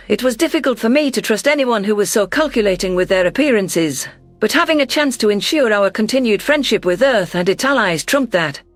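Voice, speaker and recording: A performer voicing an earnest, wary deposed alien governor who narrates in a measured, formal, reflective way. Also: mid-range voice, fairly bright tone, no echo, lightly acted. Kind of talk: scripted first-person audio story narration.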